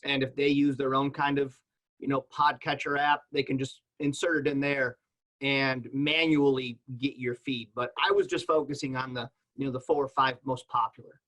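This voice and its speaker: A man's voice: medium pitch, steady tone, normal speed, fairly bright tone, poor audio. A man on a panel explaining things in a casual, conversational, plain-spoken way. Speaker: podcast panelist.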